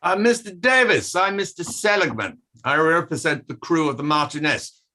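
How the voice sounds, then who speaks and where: A man reading an insistent defence barrister in a courtroom play, pointed and deliberate, with dramatic pauses. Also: fairly high pitch, expressive, sharp tone. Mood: pointed, needling. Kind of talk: table read of a courtroom play.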